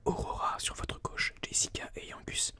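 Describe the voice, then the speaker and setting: A person whispering informal, explanatory ASMR gameplay commentary in a breathy, unvoiced voice, a little hesitant, close on a binaural mic. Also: moderate pace, bright, forceful tone. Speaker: ASMR gaming video host. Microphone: close binaural microphone.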